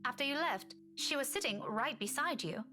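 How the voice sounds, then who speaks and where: A woman playing a caring, frank young female teacher in a visual novel game, gentle and coaxing in manner. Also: high-pitched, expressive, normal speed. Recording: music clearly behind the voice.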